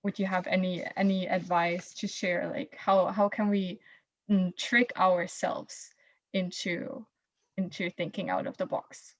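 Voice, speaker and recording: A woman's voice: lowish voice, little pitch movement, unhurried, no echo, dull audio. A young woman, a student, moderating a panel and hesitating with many fillers.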